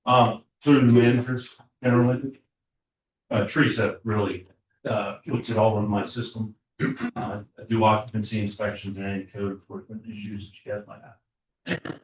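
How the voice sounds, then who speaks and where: A low-voiced man reports to a small borough council, stumbling through fillers and false starts. He sits well away from the microphone. The room is echoey, with a faint hiss underneath. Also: level tone. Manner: halting, many filler uhs and repeated starts. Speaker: code enforcement officer. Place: municipal council chamber. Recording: echoey chamber audio from far off.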